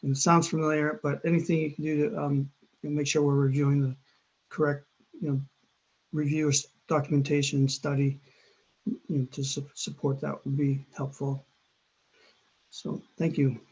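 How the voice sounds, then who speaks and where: A man who is an agency official answering in a hesitant, halting way with many fillers. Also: a little high, monotone, darkish tone, slightly rough, lo-fi sound. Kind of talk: hearing response.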